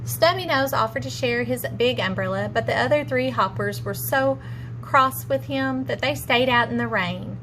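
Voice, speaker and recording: Female teacher, storybook narration for children. Higher-pitched voice, slightly noisy recording. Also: normal speed, fairly bright tone. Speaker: teacher reading a story aloud.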